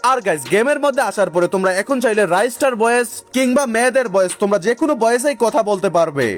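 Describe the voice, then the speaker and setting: A man's voice: very high-pitched, animated, fast talker. A man narrating a gaming tutorial, speaking directly to viewers in an instructional way. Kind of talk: energetic scripted tutorial narration. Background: music.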